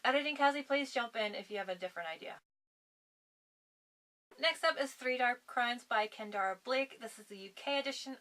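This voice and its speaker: Woman, bright sharp tone, strained voice, casual vlog chat, chatty, opinionated, enthusiastic. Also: medium pitch, poor audio. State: strained voice from long filming. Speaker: book reviewer vlogger.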